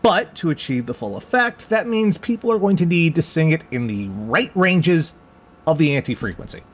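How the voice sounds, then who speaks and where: An actor plays a wisecracking survivor in a horror comedy drama. The excitable voice swings extremely in pitch, dramatic and wry. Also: moderate pace. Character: wry, excitable researcher among trapped survivors.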